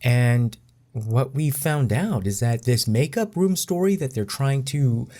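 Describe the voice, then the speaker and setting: A man speaking in a deliberate, measured way as he comments in casual chat. His voice is dark and mellow, and he takes his time. The pace stays unhurried. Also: mid-range voice, lively intonation. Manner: deliberate, measured delivery with occasional laughs. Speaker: co-host commentator.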